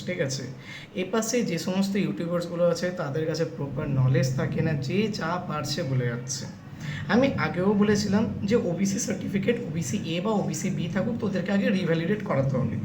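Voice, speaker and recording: Fast-talking, insistent young host in an echoey room. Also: medium pitch. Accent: Bengali. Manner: insistent, repeatedly checking with "okay?". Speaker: YouTube host giving exam counselling updates. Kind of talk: casual direct address to students. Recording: echoey room with clear background noise.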